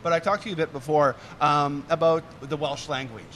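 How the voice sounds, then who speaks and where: A man's voice: on the high side, fairly even intonation, a little fast, a bit bright, a little hiss or hum. A man hosting a live radio show from a convention fair, talking in a rambling, enthusiastic way with frequent restarts. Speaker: radio host. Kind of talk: casual live radio interview.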